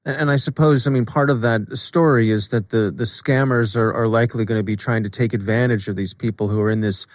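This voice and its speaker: A man hosting a podcast interview, casual and conversational, repeating words haltingly as he frames his thoughts. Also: mid-range voice, steady tone, dry recording, studio quality.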